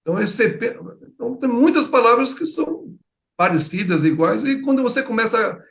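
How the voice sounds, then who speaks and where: A man's voice: very high voice, animated, fairly quick, gravelly. A middle-aged man with a Bahian accent, a writer chatting as an interview guest, full of fillers and repeated words. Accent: Bahian Portuguese. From Bahia, Brazil. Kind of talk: casual interview chat. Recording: echoey room.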